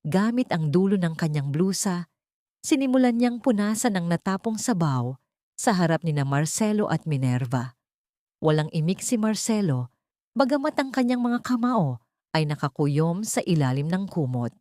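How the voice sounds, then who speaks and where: Lowish-voiced woman narrating a drama audiobook. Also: a little mellow, no echo, studio quality. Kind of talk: dramatic scripted narration of a romance story.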